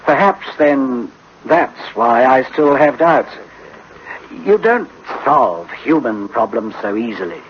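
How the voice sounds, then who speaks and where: An actor playing a sceptical, thoughtful man with a measured, wry manner in a 1960s radio drama. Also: mid-range voice, sing-song. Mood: doubtful, wry. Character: sceptical, thoughtful male colleague full of doubts. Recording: muffled old radio recording, faint noise.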